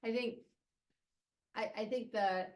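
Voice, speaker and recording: A woman's voice: fairly high pitch, fairly even intonation, slow. Hesitant woman trustee at a meeting. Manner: hesitant, many ums. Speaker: school board trustee. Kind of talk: community meeting comment. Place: community meeting room.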